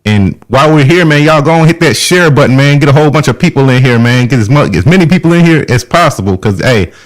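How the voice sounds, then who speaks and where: A man's voice: mid-range voice, lively intonation, fast. Man hosting a live show. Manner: hyped, slangy, repeats shout-outs. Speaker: host of a live music interview show. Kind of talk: hype show hosting and casual chat.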